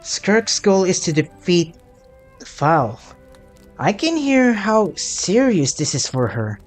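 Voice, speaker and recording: Slow-paced, expressive woman gaming streamer. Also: fairly low pitch, faint music behind. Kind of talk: game playthrough commentary, reading dialogue aloud.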